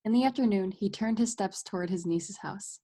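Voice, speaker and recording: A woman's voice: mid-range voice, moderate pace, mellow, natural voice. A woman giving scripted storybook narration as the narrator of a radio play.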